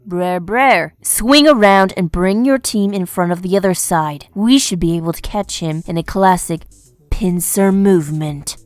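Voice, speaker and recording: A woman playing a bossy militant revolutionary in a comic dub, authoritative and brisk. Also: on the low side, no echo, natural voice. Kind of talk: comic-book dub acting.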